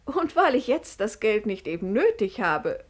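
An actress playing a clever, devoted sister of a sultan in a 1950s German radio play, with measured stage diction. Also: female voice, medium pitch, sing-song. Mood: composed, persuasive.

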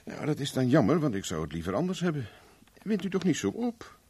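A man's voice: on the high side, sing-song, fairly quick, a little mellow, breathy edge, exaggerated voice. A man acting a cynical, flirtatious, hard-drinking private investigator in a 1970s Dutch radio play, wry and firm. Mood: wry, teasing. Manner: wry, teasing, evasive, firm when pressed.

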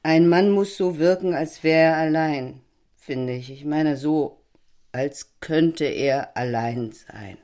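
A tipsy, brittle wife in a stage-like audio drama. Her voice sits low for a woman. She hands down opinions with languid, sardonic certainty. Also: slow pace, darkish tone. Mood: tipsy musing. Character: tipsy, opinionated, brittle married woman, guest of another couple. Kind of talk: theatrical audio drama acting.

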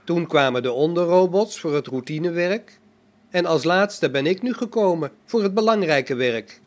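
A man playing a calm, devout robot in a radio play, reasoning in a measured, solemn way. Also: fairly high pitch, lightly acted. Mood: calm, self-assured. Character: self-assured male robot prophet, calm, devout and logical. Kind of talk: amateur science fiction radio play acting.

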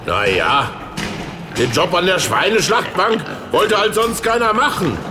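An actor playing a brash, scheming small-town politician in a satirical radio play, blunt and cocky. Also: male voice, high voice, expressive, a little slow, music in the background. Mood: grumbling.

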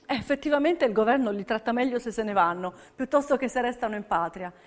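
Female stage narrator, higher register, delivering scripted documentary theatre text. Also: fast talker. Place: radio broadcast of a theatre piece.

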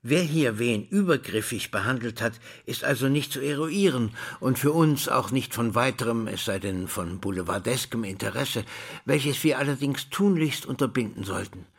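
An actor playing a pedantic school director in a theatrical drama, speaking in formal, wordy, deliberate phrases. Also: male voice, mid-range voice, expressive, vocal fry, breathy edge. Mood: formal, grave. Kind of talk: theatrical drama dialogue and monologue.

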